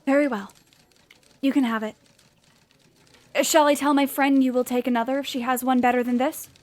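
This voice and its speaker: A woman's voice: sits high, steady tone, moderate pace, darkish tone, lightly acted. A woman playing an eager, polite young woman writer in an audio drama, earnest and easily flustered. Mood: hesitant, polite. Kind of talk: audio drama acting with letter narration. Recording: music behind the voice. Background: music.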